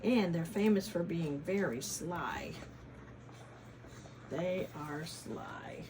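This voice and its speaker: Slow-paced woman reading to children. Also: low voice, background noise. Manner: teacherly, asking listeners questions, dramatic emphasis. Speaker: children's story reader, former teacher. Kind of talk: animated picture-book read-aloud with chatty asides to children.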